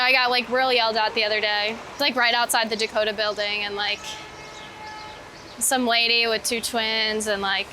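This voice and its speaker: Woman w bright highish voice, super casual chatty, says like a lot, street noise behind her. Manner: informal, heavy use of "like". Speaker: interview guest, street photographer. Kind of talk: casual chat while walking. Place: outdoors on a city street. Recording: clear outdoor street noise with traffic. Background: street ambience and vehicles.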